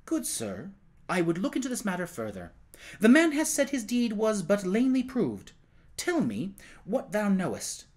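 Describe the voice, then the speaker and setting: A young king from a historical storybook, voiced by a male reader. He sounds high and lively, earnest and compassionate. His phrasing is archaic and full of feeling. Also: moderate pace, full character voice. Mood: thoughtful. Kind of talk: dramatized audiobook reading.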